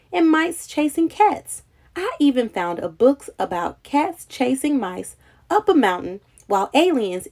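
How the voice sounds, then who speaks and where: A woman reading a children's picture book aloud in an expressive, dramatic, childlike storytelling manner. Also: high-pitched, creaky.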